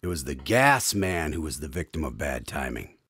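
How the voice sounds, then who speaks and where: A man's voice: rumbling low, big pitch swings, moderate pace, fairly bright tone, slightly rough, no echo, clean studio sound. A man hosting a scripted radio drama in an old-style presenter's manner. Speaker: radio show host.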